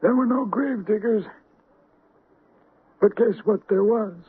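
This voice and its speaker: An actor playing a shaken, halting cemetery groundskeeper in a radio drama. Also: male voice, high-pitched, slightly rough, heavily acted. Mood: insistent, baffled. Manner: halting, hesitant. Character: shaken male cemetery groundskeeper reporting strange sights. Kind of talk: 1970s radio drama acting. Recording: muffled phone-like old radio recording.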